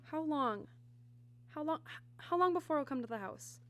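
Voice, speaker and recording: A woman's voice: high-pitched, animated, normal speed, music in the background. An actress playing a frightened wife and mother in a mid-century radio drama, pleading in a faltering voice.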